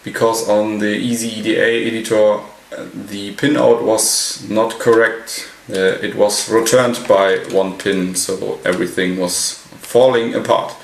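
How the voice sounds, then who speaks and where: A man giving an unscripted technical walkthrough as a hobbyist presenter, halting and pausing mid-sentence. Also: on the low side, flat delivery, vocal fry. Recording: roomy echo, light background noise.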